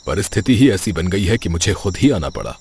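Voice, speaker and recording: Man playing a dignified cartoon king, lowish voice, expressive, music underneath. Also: fairly quick, gravelly, slight background noise, exaggerated voice. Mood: regal. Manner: calm and dignified. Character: kind, wise male king. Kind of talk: cartoon voice acting. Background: background music.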